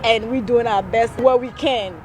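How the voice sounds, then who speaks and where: A woman's voice: on the high side, fairly even intonation, moderate pace, soft background music. A woman in her thirties, born in Cameroon, founder of a nonprofit, answering interview questions earnestly with frequent restarts and short laughs. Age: 30s.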